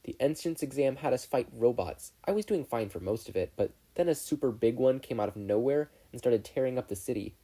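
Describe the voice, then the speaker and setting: Teenage schoolgirl, voiced by a narrator: bubbly, earnest, lively delivery, slightly husky tone. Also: mid-range voice, brisk, few pauses. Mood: matter-of-fact. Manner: upbeat, earnest, playful. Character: bright, bubbly, friendly teenage girl student. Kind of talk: fan fiction audiobook character voice.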